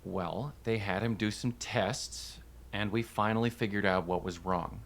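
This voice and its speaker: A man's voice: fairly low pitch, steady tone, a little slow, creaky. A man acting a wry, affectionate parent in a stage play, with a theatrical and explanatory way of talking. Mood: gentle, careful.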